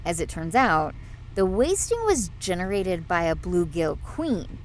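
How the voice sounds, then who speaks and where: A woman acting as an eccentric sci-fi ship's doctor with a lively, mid-pitched voice. She is clinical and matter-of-fact in this audio drama, with clear background noise. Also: unhurried, rough recording. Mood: eager, proud. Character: brisk, eccentric female doctor, proud of her science.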